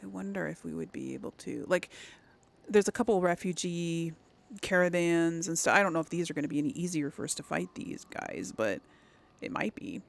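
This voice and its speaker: A woman's voice: fairly low pitch, expressive, a little fast, creaky. A woman giving casual let's play commentary as host of a gaming series, chatty and thinking aloud as she plays. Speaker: host of a role-play video game series.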